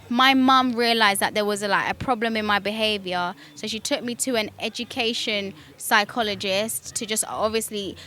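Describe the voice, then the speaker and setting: A bright, clear young woman with a British accent talks through her own story in a relaxed interview. She is chatty and fills her pauses with ums, and she laughs easily. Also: medium pitch. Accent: British English. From Kenya. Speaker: guest.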